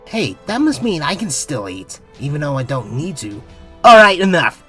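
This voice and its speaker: Woman as an animated, low-pitched boyish space captain. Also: normal speed, slightly rough, soft background music, full character voice. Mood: excited. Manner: emphatic, boyish, swinging from hushed to excited. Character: young male space captain, earnest, excitable, caring. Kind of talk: fan comic dub voice acting.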